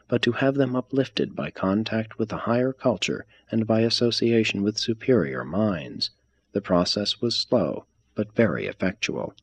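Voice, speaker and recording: A man reading a religious text aloud as scripted narration, in a measured, formal manner. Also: lowish voice, husky, no echo. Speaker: reader.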